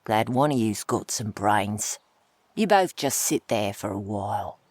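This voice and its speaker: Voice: medium pitch, expressive, a little slow, no echo. Brash bandit acted out, blunt slangy delivery, mocking, dry studio sound, roleplay audio drama. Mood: smug, taunting. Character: brash, mocking bandit robbing a merchant's cargo.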